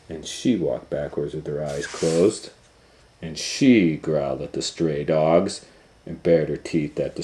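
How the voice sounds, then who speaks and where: A man's voice: a bass voice, warm tone, slight background noise. A man reading a children's picture book aloud as a storytime host, in a dramatic, playful way.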